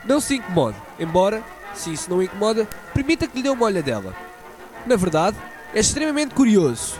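A man's voice: high voice, sing-song, quick pace. A man playing a fussy, pompous newspaper clerk in a student radio drama, with music behind.

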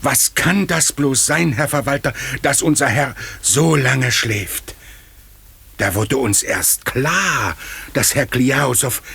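Husky, creaky male estate steward. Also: medium pitch, takes it slowly, bright, forceful tone, no room sound, full character voice. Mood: deferential, anxious. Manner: obsequious, earnest. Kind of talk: 1950s German radio play acting.

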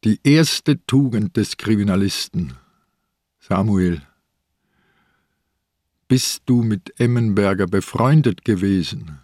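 Older police inspector, lowish voice, terse, probing, suspicious, dry radio drama sound. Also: male voice, takes it slowly, pauses often, darkish tone, no echo. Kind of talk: radio play dialogue.

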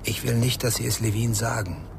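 An actor playing a grave, secretive man protecting a fugitive, terse and breathy, in a radio drama. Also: mid-range voice, flat delivery, brisk, noisy background, natural voice. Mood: guarded, urgent. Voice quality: very husky, breathy. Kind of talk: German radio drama acting.

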